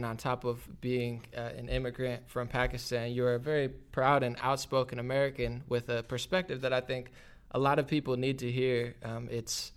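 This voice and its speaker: Smooth-voiced male podcast host. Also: medium pitch. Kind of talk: casual conversational interview.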